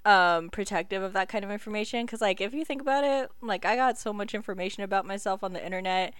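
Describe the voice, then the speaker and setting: A woman's voice: medium pitch, fast, clean tone, dry recording. A woman in her twenties co-hosting a podcast, chatting casually, frank and joking, laughing often. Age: 20s. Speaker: podcast co-host. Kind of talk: casual chat.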